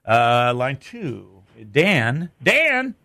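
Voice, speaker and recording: A man's voice: medium pitch, sing-song, drawn-out words, pushed and bright, no room sound, studio quality. An American man hosting a morning radio show, bantering casually live with callers. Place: radio studio.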